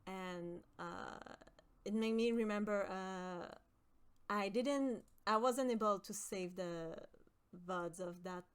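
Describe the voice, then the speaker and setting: A young woman from with a high voice, chatting with her stream viewers and often pausing with fillers. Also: clear, resonant tone. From Quebec. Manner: hesitant, many fillers and restarts. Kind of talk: casual chat with stream viewers.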